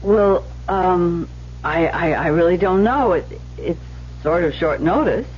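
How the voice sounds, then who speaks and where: An actress playing a shy, lonely young receptionist in an old radio drama, polite and hesitant, on a muffled recording with hum. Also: female voice, fairly low pitch, unhurried, lightly acted. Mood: flustered, hopeful.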